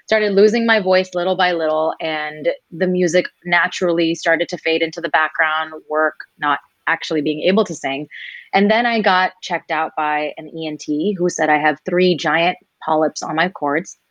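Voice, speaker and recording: A woman in her twenties, a singer guest telling her story in a relaxed interview, animated and acting out other people's lines as she goes. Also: deep voice, normal speed, dry recording, muffled recording. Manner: animated, quoting others in lively voices.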